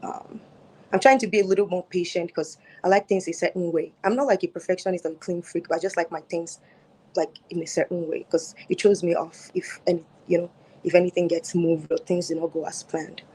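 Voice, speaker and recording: Soft-spoken Nigerian woman in her thirties. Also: on the low side, fairly even intonation, brisk, husky, low-quality recording. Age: 30s. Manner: soft-spoken, many fillers and restarts. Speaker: guest on a dating show. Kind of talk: casual interview answers. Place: home, mid-move. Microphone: phone.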